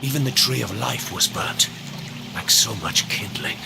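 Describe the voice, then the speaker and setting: An actor playing a proud, grave ancient angelic ruler in a video game, grandiose and theatrical, with a heavily gravelly voice. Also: male voice, medium pitch, animated, a little slow. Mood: grave, imperious. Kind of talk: video game cutscene acting. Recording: music behind the voice.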